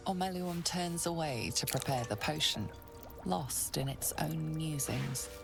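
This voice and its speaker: A woman playing the grave, ominous narrator of a dark fantasy video game, deliberate and hushed in her dramatic storytelling. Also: sits low, vocal fry. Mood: wry, hushed. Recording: loud music behind.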